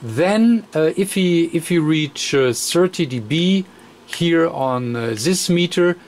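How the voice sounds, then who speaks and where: A man demonstrating a radio repair at his workbench, talking haltingly with many fillers and counting aloud as he adjusts. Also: fairly high pitch, lively intonation. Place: electronics workbench.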